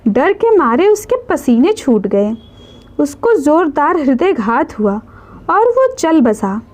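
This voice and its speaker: High-pitched, lively woman storyteller. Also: slow pace. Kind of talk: scripted story narration in a dramatic moral tale.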